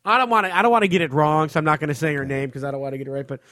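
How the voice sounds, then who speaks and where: A man with a slightly high voice chats casually as a podcast co-host. He is blunt and tends to ramble, swearing freely, and the recording is dry and close. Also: fairly even intonation, rapid-fire, a bit bright, dry recording. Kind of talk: casual chat about television.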